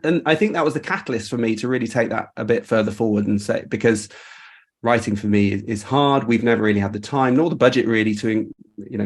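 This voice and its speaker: Man, mid-pitched voice, informal host, rambling delivery, appreciative, casual video call chat. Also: husky. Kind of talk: casual chat, reflecting on a creative project.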